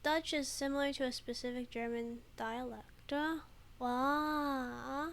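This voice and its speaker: A woman's voice: sits high, steady tone, slow, fairly bright tone, a little hiss or hum. A woman commenting casually on a video game, giggling often and hesitating a lot. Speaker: game streamer. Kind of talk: casual let's play commentary.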